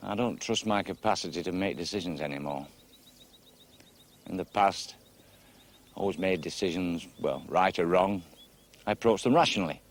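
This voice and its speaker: An actor playing a reflective social worker near forty, speaking quietly and earnestly outdoors. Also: male voice, low voice, moderate pace, long pauses, natural voice. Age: around 40. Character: troubled man near forty seeking advice. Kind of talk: TV drama dialogue.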